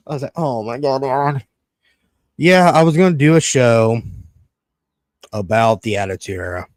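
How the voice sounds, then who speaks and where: A man in his thirties from Connecticut, hosting a casual wrestling podcast, excitable and quick to swear and laugh. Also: medium pitch, dry recording. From Connecticut, USA. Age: 30s. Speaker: podcast host.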